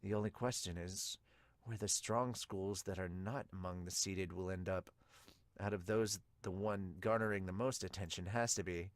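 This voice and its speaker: A man voicing serious baseball characters in a manga dub, speaking in a hushed, measured manner. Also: sits low, fairly even intonation, no room sound, pitched below the performer's own voice, natural voice. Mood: measured, analytical. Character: low, serious male baseball characters and narrator.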